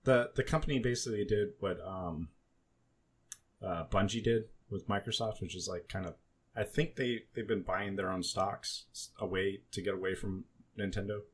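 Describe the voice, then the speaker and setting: A man's voice: deep voice, normal speed, slight background noise. A man co-hosting a casual podcast, telling rambling, joking stories peppered with swearing. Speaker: podcast co-host.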